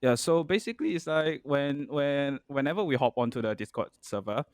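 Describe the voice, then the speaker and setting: A man's voice: mid-range voice, fairly quick, dry recording, clean studio sound. A man hosting a podcast, chatting in a friendly, hesitant way with frequent restarts and fillers. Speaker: podcast host. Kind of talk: casual podcast conversation.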